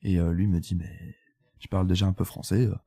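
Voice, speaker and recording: A man's voice: low voice, flat delivery, brisk, vocal fry, dark tone, breathy edge. A man in his twenties from Italy, speaking French as a podcast guest, telling his story candidly with lots of fillers and restarts. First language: Italian. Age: 20s. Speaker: guest photographer. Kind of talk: casual podcast interview.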